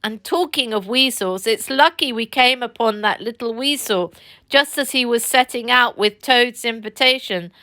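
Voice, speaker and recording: A woman's voice: on the high side, normal speed, sharp tone. A woman voicing a kind but firm male water rat who speaks earnestly.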